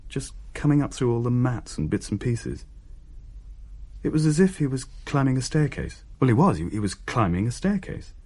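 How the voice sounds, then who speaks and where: A man's voice: on the low side, a little mellow, slightly rough, heavily acted. An actor playing a young man who tells of things in a hesitant, descriptive way in a naturalistic radio play. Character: uneasy younger man recounting a ghost sighting.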